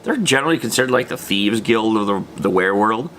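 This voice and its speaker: A man's voice: on the low side, lively intonation, fairly quick, fairly bright tone. A man presenting a casual, unscripted gaming explainer in an informal, slangy way, trailing off into loose asides. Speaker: presenter of a tabletop gaming video series. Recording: faint background noise.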